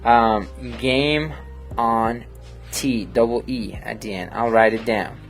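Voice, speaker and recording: A man's voice: medium pitch, steady tone, takes it slowly, faint music behind. A man with a Colombian accent, a Spanish native speaker, chatting on a live stream while he edits photos, rambling with lots of fillers. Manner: rambling and friendly, many fillers, reads chat aloud. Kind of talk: casual live-stream chat while editing.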